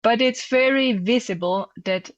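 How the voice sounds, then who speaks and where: A woman's voice: on the high side, unhurried, no room sound. A woman, a journal editor, answering interview questions in a thoughtful, hesitant way with many fillers. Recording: dull, dry lo-fi audio.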